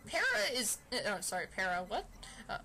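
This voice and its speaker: A woman doing a playful mock dramatic reading of fan fiction, deliberately stilted, with asides and computer fan noise behind her. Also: mid-range voice, very animated, pushed and bright, poor audio. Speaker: amateur reader of fan fiction.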